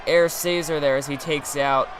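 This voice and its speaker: A young man doing excitable, rambling play-by-play commentary over a wrestling video game. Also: on the high side, normal speed, a faint crowd, slight background noise, low-quality recording.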